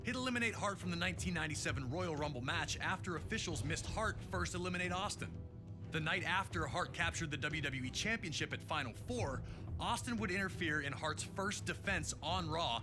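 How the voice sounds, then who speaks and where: A man's voice: a little high, expressive, brisk, lightly acted. A man narrating wrestling history in a dramatic, hyped announcer style, reading from a script. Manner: emphatic, hyped announcer delivery. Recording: music bed under the voice. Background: music.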